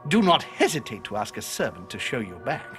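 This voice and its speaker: A man acting a courteous, scheming gentleman in a video game, with a polished, insinuating and formal manner. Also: mid-range voice, sing-song, a little fast, music in the background, lightly acted. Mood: suavely polite.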